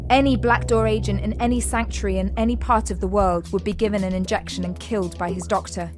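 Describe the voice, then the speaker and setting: A woman delivering dramatic scripted narration for an audio drama. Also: mid-range voice.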